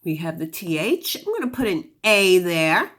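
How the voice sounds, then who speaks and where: A woman teaching a phonics lesson to young children, giving deliberate instructions and sounding out words. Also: fairly low pitch, big pitch swings, a bit bright.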